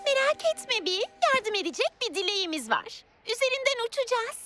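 Casting: plucky young girl lead, very high lively childlike voice, upbeat, music underneath. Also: brisk. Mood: upbeat, caring. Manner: upbeat, encouraging. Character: cheerful adventurous eight-year-old girl heroine. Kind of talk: Turkish cartoon dub.

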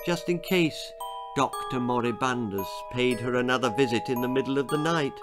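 A man in his sixties reading a children's horror story dramatically, savouring his words. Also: a little high. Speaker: author reading own story. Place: small book-lined room indoors.

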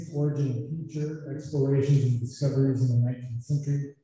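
Dark-voiced man in an echoey hall. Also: mid-range voice, distant mic. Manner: reads with stumbles and throat clearing. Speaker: meeting MC. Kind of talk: reading an introduction. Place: large meeting room.

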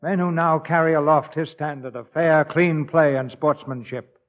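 Actor as a stately narrator, slightly high voice. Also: male voice, steady tone, moderate pace, natural voice. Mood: proud, solemn. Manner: declamatory, formal. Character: stately, proud memorial narrator. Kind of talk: 1940s radio tribute narration. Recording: dull old radio recording, faint music.

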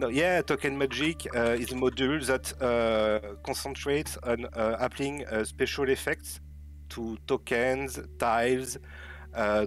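A native French-speaking man with a French accent, chatting casually and hesitantly as he searches for words. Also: medium pitch. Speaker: guest developer, new team member. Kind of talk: casual chat.

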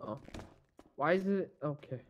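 Higher-pitched man, hesitant and bemused. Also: expressive, a little slow, muffled recording, background noise. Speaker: role-player playing a police officer. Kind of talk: casual improvised in-game role-play chat.

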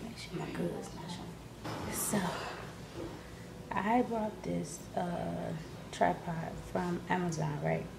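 A woman's voice: lowish voice, slow, vocal fry, long pauses. A woman in her thirties or forties keeping her voice down as she gives a casual vlog-style product demonstration from her living room. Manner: hushed, keeping voice down. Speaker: vlogger. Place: living room at home, indoors. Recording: noisy home camera recording.

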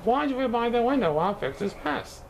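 A man's voice: very high-pitched, sing-song, fast, gravelly, background noise, voice pushed up, full character voice. A man voicing a busy, forgiving young boy from a storybook, with lifted, emphatic delivery. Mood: exasperated.

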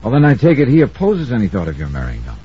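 An American actor playing a skeptical, wry insurance investigator in an old-time radio drama, probing informally. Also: male voice, mid-range voice, huge pitch swings, very fast, breathy edge, heavily acted. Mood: blunt, probing. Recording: dull, faintly noisy old radio recording.

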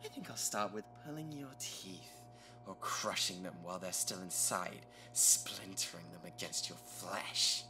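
A man's voice: low voice, unhurried, over music. A British man playing an obsessive young yandere kidnapper in a dramatic ASMR roleplay, possessive and menacing. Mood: sadistic menace. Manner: possessive, menacing, swinging from tender coaxing to frenzy.

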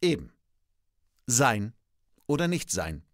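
Comic podcast role: pedantic, contrarian old-school professor, played by a man, precise and declamatory, lively pitch swings, dry close studio sound. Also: slow, no room sound. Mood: dry, musing. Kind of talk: scripted comic dialogue. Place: radio studio. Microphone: studio microphone.

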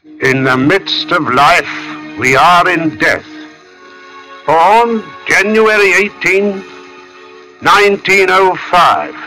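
Male, higher-pitched and slow, as a simple, hesitant stone carver in an old radio mystery. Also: sharp tone, full character voice, low-quality recording. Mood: solemn. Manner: hesitant, halting, muttering interjections. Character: simple, puzzled working-class male tombstone carver. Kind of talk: 1940s radio suspense acting. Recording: old radio recording with music behind.

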